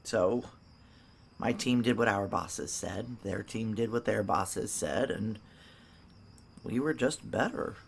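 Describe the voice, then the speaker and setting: A woman's voice: rumbling low, slow pace, gravelly, pauses often, breathy edge, exaggerated voice. An actress playing a tough, blunt, world-weary female security operative in a noir audio drama, speaking in terse, salty phrases. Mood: matter-of-fact. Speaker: lead actor and narrator in an audio drama. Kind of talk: noir audio drama acting with intimate first-person narration.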